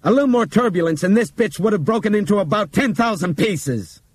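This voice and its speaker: A man voicing a tough male airline captain who speaks bluntly and forcefully, swearing. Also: medium pitch, lively intonation, normal speed, breathy edge, no room sound, voice pushed up. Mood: stern.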